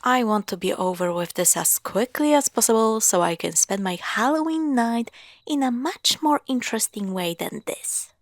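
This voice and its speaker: A woman playing a playful, sarcastic young girlfriend in a spooky roleplay audio drama, teasing and expressive. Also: on the high side, moderate pace, dry recording, clean studio sound. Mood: casual, slightly impatient. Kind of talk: spooky roleplay audio drama, acted one-sided dialogue.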